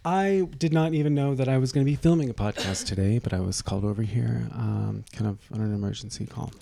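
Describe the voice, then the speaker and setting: A young man co-hosting a podcast, cracking snarky deadpan jokes in a casual chat. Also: medium pitch, quick pace, gravelly. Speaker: podcast co-host.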